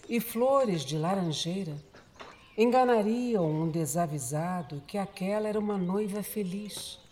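A woman with a Brazilian accent gives solemn, measured, dramatic narration for a radio soap opera. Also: lowish voice, warm tone, slight background noise. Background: music.

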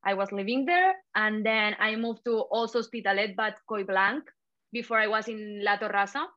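A woman's voice: mid-range voice, normal speed, pushed and bright, dry recording, lo-fi sound. A woman in her twenties from Spain, speaking English with a Spanish accent in casual conversation. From Spain (Catalonia and Andalusia). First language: Spanish and Catalan. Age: 20s.

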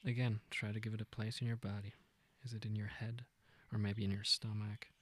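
A man leading a guided meditation, speaking gently and informally in an unhurried, intimate way. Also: fairly low pitch, little pitch movement. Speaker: meditation guide, podcast host.

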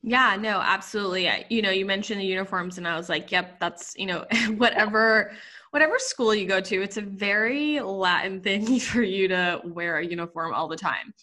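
A woman's voice: mid-range voice, moderate pace, sharp tone. A young woman from Puerto Rico hosting a casual podcast interview, chatty and full of asides. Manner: chatty, many fillers and asides. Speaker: podcast host.

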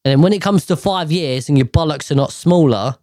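A man's voice: on the high side, fairly quick, a little mellow, no echo, clean studio sound. A man ranting casually to camera, profane and exasperated, rambling with sighs and restarts.

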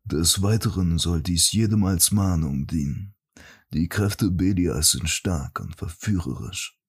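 Man voicing a deep, slow, husky archmage. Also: full character voice. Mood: solemn warning. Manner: pompous, formal, grave. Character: solemn, righteous archmage priest reading his letter aloud. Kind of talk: fantasy audiobook, scripted dramatic monologue.

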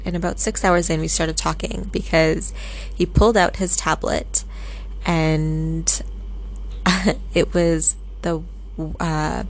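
A woman's voice: fairly low pitch, rough recording. A young woman from Canada hosting her own podcast, telling a travel story in a chatty, rambling way with small laughs. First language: Tamil. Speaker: podcast host. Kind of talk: casual storytelling monologue.

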